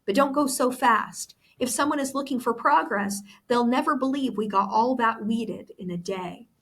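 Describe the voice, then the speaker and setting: A woman's voice: a little high, normal speed, a bit bright. A woman reading the part of an optimistic, teasing older brother in a storybook, reassuring and upbeat.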